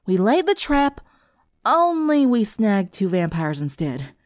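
A woman's voice: mid-range voice, very animated, slow, no room sound, clean studio sound. A woman voicing a plain-spoken, stubborn, practical country girl in a story reading. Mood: defensive.

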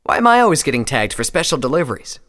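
A man voicing a brash, cocky male pegasus courier in a story, excitable and quick to complain. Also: fairly high pitch, long reverb tail, pitched above the performer's own voice. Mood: protesting.

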